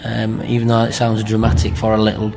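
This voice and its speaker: A man's voice: on the low side, completely flat delivery, a little fast, darkish tone, soft background music. A middle-aged man with a Greater Manchester accent, chatting in an interview in a rambling way and often checking with tag questions. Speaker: amateur pantomime performer being interviewed.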